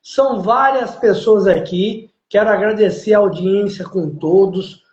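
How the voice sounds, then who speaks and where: Guy hosting a podcast, pretty high voice, roomy dull lo-fi sound. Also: measured pace, a little mellow. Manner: formal, emphatic introductions. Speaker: podcast host. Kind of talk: live podcast interview.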